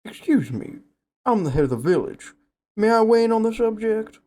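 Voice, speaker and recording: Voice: medium pitch, very animated, normal speed, dark tone, natural voice. A performer voicing an earnest, dignified, compassionate village leader in a cartoon comic dub, polite and formal. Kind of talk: comic book fan dub cartoon acting.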